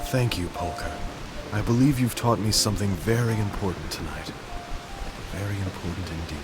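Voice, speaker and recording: An actor playing a calm, composed young man in a game cutscene who speaks in a measured way. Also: low voice, natural voice. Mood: gentle. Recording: game audio under loud music.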